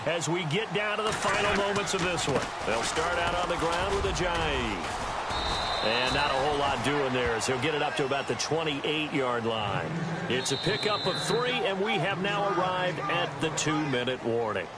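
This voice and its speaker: A man playing an upbeat, polished play-by-play announcer in an American football video game, with an American accent and crisp phrasing, over loud noise. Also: on the high side, lively intonation, moderate pace, natural voice. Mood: steady, engaged. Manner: crisp announcer phrasing, rising on big plays. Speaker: play-by-play commentator.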